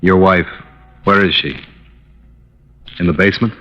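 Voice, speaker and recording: A man's voice: deep voice, fairly even intonation, unhurried. An actor playing a sober, hardboiled male insurance investigator in a 1950s radio detective drama, clipped and matter-of-fact. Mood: grave, probing. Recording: dull old radio recording.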